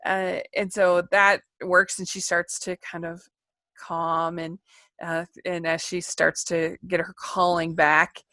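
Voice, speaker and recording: A woman with a fairly low voice is co-hosting a casual film podcast. She rambles chattily and haltingly through her points. The sound is dry and close. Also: level tone, pushed and bright, no echo. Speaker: podcast co-host reviewing animated films.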